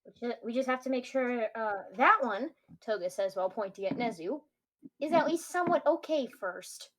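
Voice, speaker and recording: Very high-pitched woman, noisy recording. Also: expressive, normal speed. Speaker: tabletop game player. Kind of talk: casual in-character role-play. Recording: clearly noisy voice recording with clicks.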